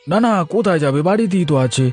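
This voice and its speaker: A man's voice: high-pitched, lively intonation, fast talker, darkish tone. A man voicing a middle-aged village man in a cartoon, with an earnest, dramatic delivery. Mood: nervous, evasive. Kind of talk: cartoon voice acting.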